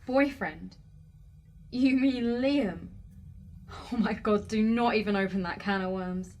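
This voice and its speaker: A woman's voice: medium pitch, slow pace. An actress playing a feisty, stubborn young woman in an audio drama, challenging and sarcastic. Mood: indignant, defiant.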